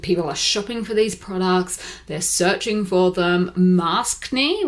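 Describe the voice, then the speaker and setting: A woman with a slightly low-pitched voice hosts a podcast. She talks in a chatty, upbeat way, with a few ums and uhs along the way. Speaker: podcast host. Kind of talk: casual podcast talk. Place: Seoul.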